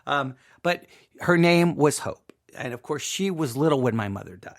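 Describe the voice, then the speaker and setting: A man's voice: on the high side, lively intonation, moderate pace. A middle-aged man from Texas hosting a podcast, telling a personal story in an emphatic, repetitive monologue. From Texas, United States. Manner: emphatic, repetitive, seeking agreement with "right?". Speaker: podcast host.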